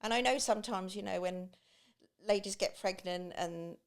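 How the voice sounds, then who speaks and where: A woman's voice: mid-range voice, normal speed, smooth. A middle-aged woman with an East Anglian English accent, chatting and telling personal stories, acting out remembered remarks as she goes. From Great Yarmouth, England. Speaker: podcast guest, beach hut business owner.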